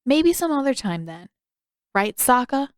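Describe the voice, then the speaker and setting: A woman's voice: sits high, sing-song, unhurried, no echo, studio quality, pitched above the performer's own voice. A woman voicing a friendly, guileless young boy in an audiobook story, earnest and artless. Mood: conciliatory.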